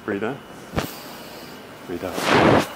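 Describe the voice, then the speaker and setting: A man's voice: fairly low pitch, drawn-out words. A middle-aged man working as a bodywork practitioner, chatting casually and giving short instructions to a client. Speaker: chiropractic practitioner. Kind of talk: casual chat and session instructions. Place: large indoor treatment studio. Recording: noisy room ambience.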